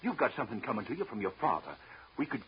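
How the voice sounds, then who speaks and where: An actor playing an earnest, discontented young husband in a 1930s radio drama. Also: male voice, mid-range voice, big pitch swings, racing, close to an everyday voice. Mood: fed up, earnest. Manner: earnest, impassioned. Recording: dull, lightly noisy 1930s radio recording.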